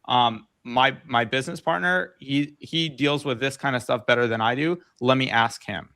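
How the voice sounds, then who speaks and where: A man co-coaching on a video call gives plain, direct advice and keeps checking in with "okay?" His voice has an ordinary middle pitch. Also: moderate pace, pushed and bright.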